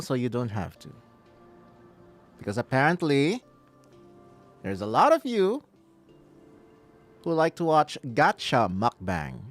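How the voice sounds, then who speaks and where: A man gives casual livestream commentary to his viewers. He speaks slowly, in a mid-range voice with lots of pitch movement. Soft game music runs behind him. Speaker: live game streamer.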